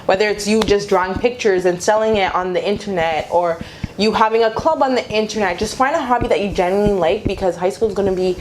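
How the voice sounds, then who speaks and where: A woman's voice: medium pitch, quick pace, darkish tone, a little hiss or hum, low-quality recording. A young adult woman chatting casually to viewers as a vlogger, giving advice in an informal way and repeating phrases for emphasis.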